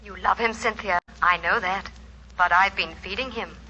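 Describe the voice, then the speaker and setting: Woman playing a very bright-voiced, patient English mother. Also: mid-range voice, moderate pace, lightly acted. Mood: gently chiding. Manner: patient, gently chiding. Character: gentle, firm English mother of young girl. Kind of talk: 1940s radio acting. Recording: dull, faintly noisy 1940s radio recording.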